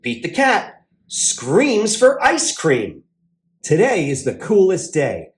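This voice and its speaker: A man's voice: high voice, wildly swooping pitch, slow, soft background music. A man hosting a children's read-aloud, exuberant and exclamatory, drawing words out for emphasis.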